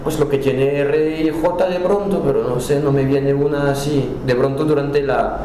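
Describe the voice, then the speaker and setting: A man's voice: fairly high pitch, fairly even intonation, mellow, very echoey, a little hiss or hum, low-quality recording. A man from France speaking Spanish with a French accent, chatting as an interview guest and often restarting his words. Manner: halting, restarts words, friendly. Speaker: guest, cultural center director. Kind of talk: casual conversational interview.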